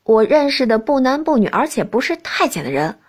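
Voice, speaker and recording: A woman's voice: fairly high pitch, sing-song, brisk. A woman voicing a flustered young princess who lives in a prince's body, hesitant and protesting, in an audiobook. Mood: exasperated. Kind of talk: audiobook character dialogue.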